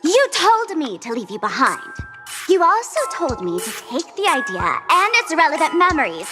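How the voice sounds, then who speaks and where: A high-pitched, smug young trickster girl in a video game. She teases theatrically and swings widely in pitch, with music playing behind her. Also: big pitch swings, normal speed. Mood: sly, challenging. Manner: teasing, theatrical, speaks of herself in third person. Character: mischievous young female masked trickster streamer, smug and theatrical. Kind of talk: video game character acting.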